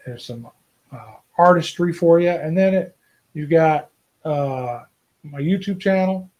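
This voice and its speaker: A man's voice: a little high, a little mellow. A man, chatting to camera from a small home office and often checking in with "right?" and "okay?". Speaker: outdoors vlogger. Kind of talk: casual to-camera vlog. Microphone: desk camera, head and shoulders.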